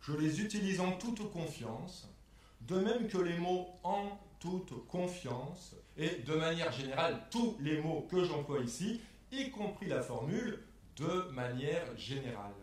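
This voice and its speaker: A man delivering a scripted spoken exposé in a measured, methodical way, setting phrases apart like quotations. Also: a little high, expressive, recorded from a distance. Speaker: performer in a spoken-word performance lecture. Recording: echoey room, picked up from afar.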